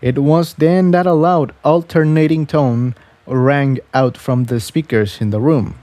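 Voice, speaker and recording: A man's voice: mid-range voice, steady tone, unhurried, mellow, dry recording. A man reading a scripted story aloud as a narrator. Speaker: audiobook narrator.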